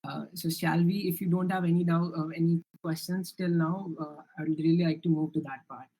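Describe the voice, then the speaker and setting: A young man with an Indian accent presents his software project in a live online demo, answering questions earnestly with frequent restarts and fillers. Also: fairly high pitch, steady tone, brisk, poor audio. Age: young adult.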